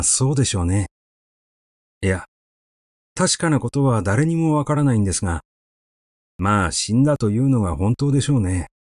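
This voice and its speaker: A man reading a literary story aloud in a measured, expressive, conversational storytelling manner, close to the microphone. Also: mid-range voice, dry recording. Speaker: audiobook reader.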